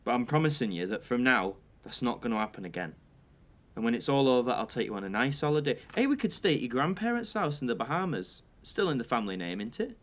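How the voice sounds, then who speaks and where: Young man, British accent, medium pitch, acted devoted boyfriend, earnest, hesitant, reassuring, audio drama. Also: big pitch swings, fast, natural voice. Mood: apologetic, tender. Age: young adult.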